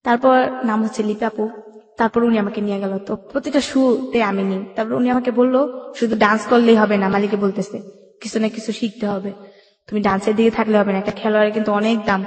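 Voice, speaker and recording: Female, Bangladeshi accent, interview guest recounting life story colloquially, quick delivery, reverberant sound. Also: mid-range voice, steady tone, darkish tone. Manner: colloquial, acts out remembered dialogue. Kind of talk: personal storytelling in a radio interview. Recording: echoey room with long reverb.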